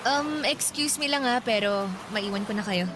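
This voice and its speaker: A woman's voice: a little high, moderate pace, noisy background, natural voice. An actress in a Filipino radio drama playing a sweet, hesitant, polite office woman in her twenties. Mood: awkward, hurt. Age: 20s.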